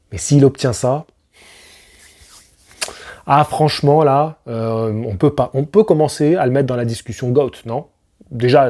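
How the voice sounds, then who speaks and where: A man's voice: medium pitch, warm tone. A man hosting a casual boxing analysis, talking straight to the viewer with slangy asides and rhetorical questions. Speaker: boxing channel host.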